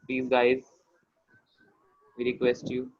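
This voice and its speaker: A young male student with an Indian accent and a mid-pitched voice talks politely with classmates. The audio is dull and lo-fi, as from a video call. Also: completely flat delivery, takes it slowly. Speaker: student taking audience questions. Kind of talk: casual, polite online class talk. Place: indoors on an online video call.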